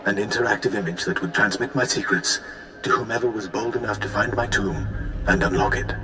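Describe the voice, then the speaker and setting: Echoing, serene ancient hologram sage. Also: male voice, on the low side, pushed and bright. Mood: calm, formal. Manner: measured, wise, solemn. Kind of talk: video game cutscene acting. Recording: echoing voice over game music.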